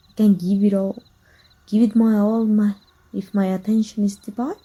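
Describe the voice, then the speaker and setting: A woman acts out a possessive villainess speaking intimately to her rival in an ASMR roleplay. Her voice is very mellow and breathy, at a middling feminine pitch. She teases softly and deliberately, with jealous menace underneath. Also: little pitch movement, natural voice. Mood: possessive, coolly menacing.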